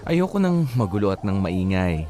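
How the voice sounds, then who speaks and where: An actor with a Filipino accent playing an orderly, fussy young man narrating his love story in a radio drama, measured and confiding. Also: sits low, big pitch swings, warm tone. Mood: confiding, exasperated. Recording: music bed underneath.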